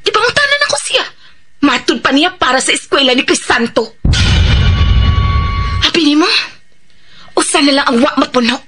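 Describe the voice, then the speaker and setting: A greedy village gossip in a Visayan radio drama. Her voice is extremely high and bright, swinging wildly as she schemes, exclaims and giggles in a Filipino Visayan accent. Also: expressive, normal speed, pauses often, soft background music. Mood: gossipy, excited. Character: gossipy, greedy, giddy woman scheming over money. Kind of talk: Bisaya radio drama acting.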